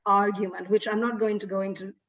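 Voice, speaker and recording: A middle-aged woman with a Sri Lankan accent tells stories in an animated way. Her voice sits back from the mic. The audio is dull and lo-fi. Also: medium pitch, fast, far from the mic, crowd noise. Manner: animated storytelling with frequent laughs. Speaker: guest speaker. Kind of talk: storytelling in an online talk.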